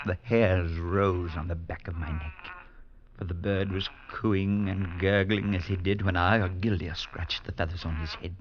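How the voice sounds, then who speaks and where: An actor playing a priest who narrates the story in a measured way in a 1940s radio drama. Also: male voice, low voice, lightly acted. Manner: measured narration with rising alarm in dialogue. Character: male priest narrator, earnest, steady, unsettled. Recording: dull lo-fi vintage radio with music.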